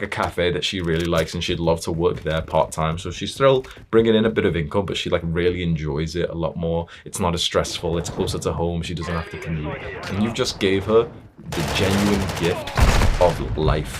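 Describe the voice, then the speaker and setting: A man's voice: deep voice, noisy background. A young man giving a blunt, stern motivational talk as a self-improvement coach, emphatic and swearing freely.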